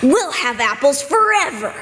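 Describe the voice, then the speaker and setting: A sincere, practical village kid, acted by a woman in a stage reading. The voice is high and unhurried, with strong echo from the hall. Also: big pitch swings, fairly bright tone, exaggerated voice. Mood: hopeful. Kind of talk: amateur readers theater. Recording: echoey hall, faint noise.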